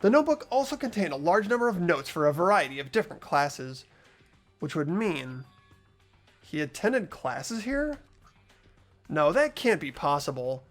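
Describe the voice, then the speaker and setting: A person reading visual novel narration and dialogue expressively, voicing an earnest, anxious high school student. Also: very animated, normal speed, faint music behind. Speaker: player reading a video game's lead role aloud.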